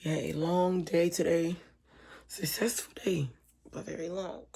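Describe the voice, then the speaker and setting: A young woman vlogging late at night with a hoarse, fading voice, talking softly and partly in a whisper. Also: on the low side, steady tone, a little slow. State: hoarse, voice almost gone. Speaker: mom vlogger. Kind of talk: casual talk to camera. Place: home at night, lying down with her baby.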